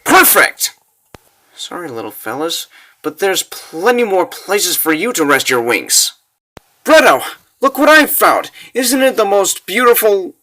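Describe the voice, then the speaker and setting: A man's voice: sits high, big pitch swings, a little slow, sharp tone, voice pushed up, exaggerated voice. A man voicing an earnest, spirited young girl in a comic dub, with emotional, exclamatory delivery. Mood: delighted.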